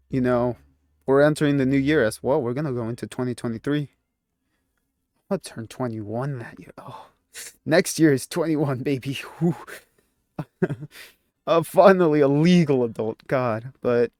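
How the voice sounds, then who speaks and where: A man's voice: mid-range voice, darkish tone, no echo, studio quality. A man of twenty talking casually to his channel, rambling and restarting his sentences and laughing at himself now and then. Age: 20s. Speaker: YouTuber. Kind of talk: casual reflective vlog talk.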